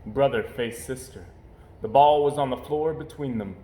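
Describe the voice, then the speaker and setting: A man reading a children's story aloud in an expressive narrating style. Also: mid-range voice, normal speed. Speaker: storybook reader. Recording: roomy echo, faint background noise.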